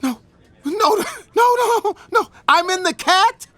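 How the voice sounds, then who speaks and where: A man playing an eager, excitable music teacher in a children's storybook, animated and urgent. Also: very high voice, takes it slowly, dry recording, full character voice. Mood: panicked.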